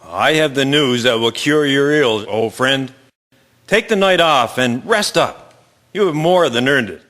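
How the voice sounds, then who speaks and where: A man's voice: medium pitch, lively intonation, a little slow, a bit bright. A man playing a caring, hearty wartime army colonel in a radio drama, speaking in formal, declamatory phrases. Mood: cheering, fond.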